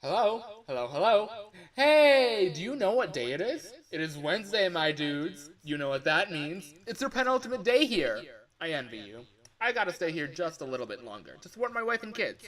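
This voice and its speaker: A man's voice: high-pitched, big pitch swings, moderate pace, pitched above the performer's own voice. A man voicing an upbeat, chatty male office worker briefing a night guard in a scripted game monologue. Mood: loud, cheery. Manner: loud, exclamatory greetings, instructional. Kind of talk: scripted video game phone-call monologue.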